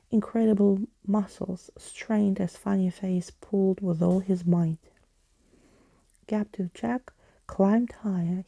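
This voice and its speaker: Very soft, breathy woman reading ASMR. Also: on the low side, dry recording. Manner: gentle, hushed, soothing bedtime delivery. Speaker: ASMR story reader. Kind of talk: soft-spoken and whispered ASMR storybook reading.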